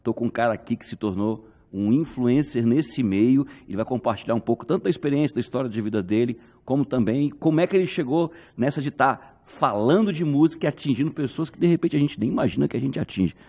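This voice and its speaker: Guy in his 40s hosting a podcast, friendly, lots of quick agreeing, bit of reverb in the room. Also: medium pitch, lively intonation, dark tone. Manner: friendly, short agreeing interjections. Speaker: podcast host. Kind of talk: casual podcast interview.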